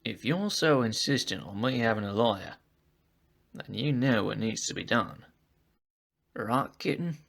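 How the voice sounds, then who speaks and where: Man playing a slow-drawling smug animal criminal. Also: medium pitch, lively intonation, gravelly, a bit bright, heavily acted. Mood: sly. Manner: drawling, mocking. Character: sly taunting male animal criminal, smug and cynical. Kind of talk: fan audio drama villain acting.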